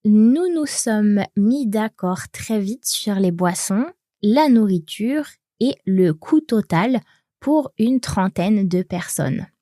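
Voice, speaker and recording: Slow-spoken young French woman. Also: medium pitch, no room sound, studio quality. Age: 20s. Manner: slow, relaxed, with fillers and light laughs. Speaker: co-host, native French speaker. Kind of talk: bilingual language lesson.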